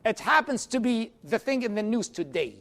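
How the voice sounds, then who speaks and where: Man teaching, high voice. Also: very animated, vocal fry, fairly bright tone. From Iraq. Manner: measured, explanatory, answers questions directly. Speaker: teacher of a church class series. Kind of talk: lecture with audience questions.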